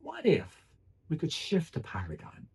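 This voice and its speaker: A man's voice: on the high side, huge pitch swings, a little slow, creaky, darkish tone, slightly rough. A man delivering a motivational speech in an earnest, emphatic way, often posing rhetorical questions. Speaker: public speaker.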